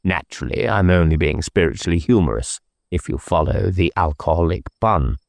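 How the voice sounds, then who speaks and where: A man's voice: low voice, animated, fairly quick, gravelly, no echo, clean studio sound, voice pushed down. A man voicing a whimsical, evasive village clergyman with a coy, wordy and mannered way of talking, in an audiobook.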